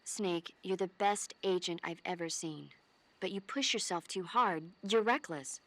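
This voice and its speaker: An actress playing a caring female military medic in a video game, earnest, playful and gently scolding. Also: on the low side, measured pace. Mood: earnest devotion.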